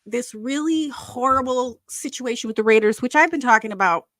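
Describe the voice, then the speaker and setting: Bright chatty Wisconsin woman. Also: on the high side, normal speed, no echo. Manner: chatty, laughs often, many fillers. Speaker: podcast co-host. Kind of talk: casual sports chat.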